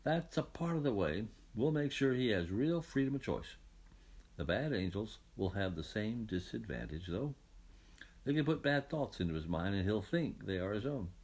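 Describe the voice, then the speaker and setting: A man voicing a patient, fatherly, husky God. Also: on the low side, animated, normal speed. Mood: reassuring. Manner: reassuring, explanatory, gently authoritative. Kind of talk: children's Bible storybook reading.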